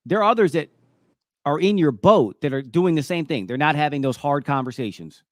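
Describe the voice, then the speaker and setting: A man's voice: on the high side, expressive, fairly quick, no echo, studio quality. A man in his fifties hosting a conversational faith talk show, speaking emphatically and often repeating himself to check in. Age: 50s. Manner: emphatic, repetitive, checks in with "right?". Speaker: show host.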